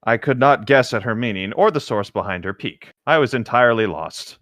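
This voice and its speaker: A man's voice: medium pitch, expressive, fairly quick, fairly bright tone, no room sound, studio quality. A man reading a novel aloud as co-host, mixing the text with casual, wry, laid-back asides.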